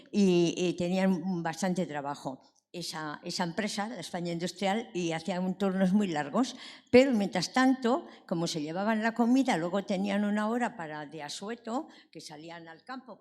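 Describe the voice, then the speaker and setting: A woman's voice: fairly low pitch, a little mellow. An elderly woman from Barcelona with an accent from Spain, speaking from the audience and telling memories in a digressive storytelling way. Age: 70s or older. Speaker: audience member. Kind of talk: spoken personal reminiscence. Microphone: handed audience microphone. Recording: echoey hall, long reverb.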